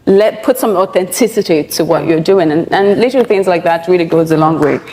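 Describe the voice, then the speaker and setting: A woman from Nigeria chatting in a lively, opinionated way and laughing often. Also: lowish voice, a little fast, noisy background. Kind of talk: casual chat.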